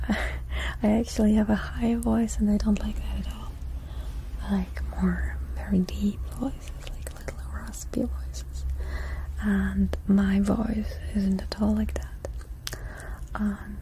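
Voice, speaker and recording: A young woman whispering softly into two sensitive foam-covered microphones during an ASMR live stream in a small indoor room. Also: mid-range voice, little pitch movement, slow pace, creaky, a little hiss or hum, low-quality recording. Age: young adult. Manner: soft unvoiced whispering close to the mics. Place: small indoor room before a blue backdrop. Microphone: two foam-covered shotgun mics right at her face.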